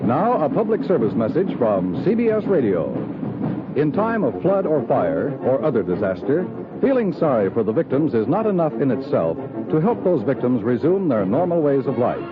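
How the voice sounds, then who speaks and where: An American male announcer reads a script in 1950s radio style. His mid-pitched voice moves up and down dramatically. Music plays behind him on a thin, phone-like vintage recording. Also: very animated, normal speed.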